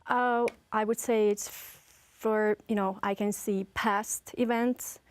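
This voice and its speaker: A woman's voice: mid-range voice, fairly even intonation, slow pace, smooth, no room sound. Hesitant Hungarian-accented woman. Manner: hesitant, many fillers and restarts. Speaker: guest. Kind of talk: casual interview chat.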